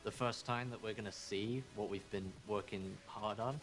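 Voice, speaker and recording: A man's voice: on the low side, fairly even intonation, moderate pace, low-quality recording. A man who manages his team, speaking in easygoing interview soundbites and often hedging. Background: music.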